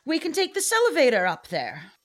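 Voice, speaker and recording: A woman's voice: sits high, huge pitch swings, a little fast. A woman playing a helpful, wry factory worker in a radio play.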